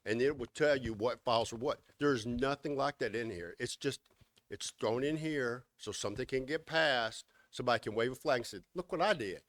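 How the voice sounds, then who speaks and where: A man's voice: mid-range voice, a little fast, a bit bright, no echo. Sarcastic man criticizing a law. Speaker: meeting speaker on election law. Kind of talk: informal talk at a meeting.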